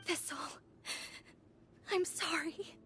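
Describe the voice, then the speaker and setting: Lady doing timid sad prisoner girl in a game dub, really high, slow, music clearly behind. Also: steady tone. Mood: sorrowful. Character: timid, sorrowful young female prisoner. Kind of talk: theatrical dubbed game-story acting.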